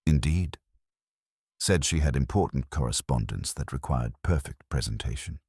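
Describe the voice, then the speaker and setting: A man's voice: a bass voice, lively intonation, darkish tone, slightly rough, dry recording, studio quality, voice pushed down, heavily acted. A man voicing a proud stationery shopkeeper in a mystery story, precise and businesslike. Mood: professional pride. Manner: brisk commercial precision.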